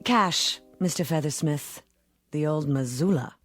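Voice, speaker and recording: An actress playing a suave devil posing as a travel agent in 1960s television drama, speaking with poised, formal precision and cool teasing. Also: female voice, deep voice, measured pace, a bit bright. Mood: businesslike, coolly amused. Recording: old TV soundtrack with background music.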